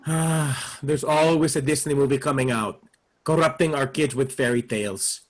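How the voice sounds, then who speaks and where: Actor as a grumbling, husky, worried father. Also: mid-range voice, fairly even intonation, moderate pace, natural voice. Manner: grumbling, exasperated. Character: grumpy, worried, cynical Filipino immigrant father and husband. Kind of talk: staged play reading.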